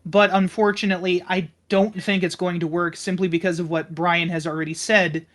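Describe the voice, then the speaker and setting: A man in casual tabletop game talk, explaining things in a deliberate, conciliatory way. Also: high voice, little pitch movement, a bit bright.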